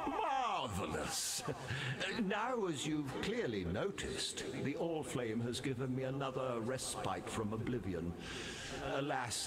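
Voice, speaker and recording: An actor playing a roguish, courtly undead revenant seafarer in a video game, with flowery, grandiloquent dramatic delivery. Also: male voice, mid-range voice. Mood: contrite, ingratiating, then coaxing, delighted. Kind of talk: theatrical video game character dialogue.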